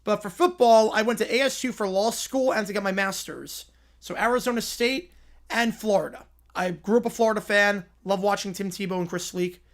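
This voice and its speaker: Twenty-something guy from long island doing live football play by play, highish voice, chatty. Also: fairly quick. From Long Island, New York. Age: 20s. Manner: chatty, answers viewer questions between plays. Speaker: livestream host and play-by-play commentator. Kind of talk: casual live play-by-play with viewer chat.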